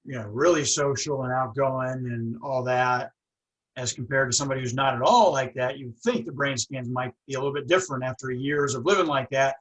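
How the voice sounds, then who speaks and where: A man who stutters hosts a relaxed talk, thinking out loud and checking in with "right?" His voice sits at an ordinary middle pitch. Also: brisk, low-quality recording. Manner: reflective, ends with "right?". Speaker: podcast host. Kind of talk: casual conversation.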